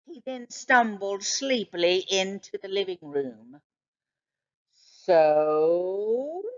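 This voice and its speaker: A woman reading a children's story aloud in an expressive narrating style. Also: medium pitch, measured pace, muffled recording. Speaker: storybook reader.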